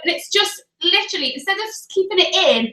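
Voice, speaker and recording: A young woman co-hosting a live stream, talking loudly and energetically and laughing often. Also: extremely high pitch, animated, fairly quick, reverberant. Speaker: co-host of a live business coaching stream. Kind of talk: casual live-stream chat.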